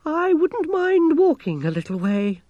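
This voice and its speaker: A man voicing a fretful, excitable male gnome with a wheezy, quavering delivery in a storybook reading. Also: sits high, moderate pace, dry recording, muffled recording, pitched above the performer's own voice. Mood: frightened.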